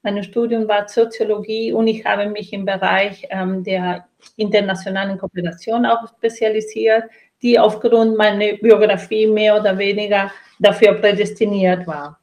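A woman's voice: medium pitch, a little mellow. The invited guest on an educational talk show, a middle-aged woman with a Latin American accent, earnestly setting out what she believes in. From Chile and Brazil. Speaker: interview guest, trainer and coach. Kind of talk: reflective interview answer about own life and work.

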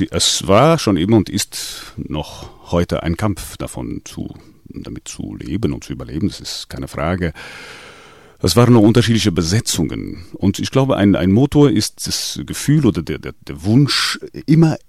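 Singer, man, deep gravelly voice, lively hesitant delivery with many restarts, dry sound. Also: normal speed, no echo. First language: Portuguese. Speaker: singer in a latin music band. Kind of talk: radio interview.

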